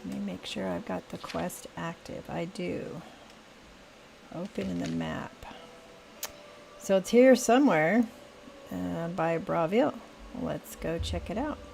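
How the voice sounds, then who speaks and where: Gravelly older woman musing slowly. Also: on the low side. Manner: thinking aloud, slow and musing. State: scratchy voice. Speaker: gaming channel host. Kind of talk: casual gameplay commentary. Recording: steady rain noise behind. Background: rain.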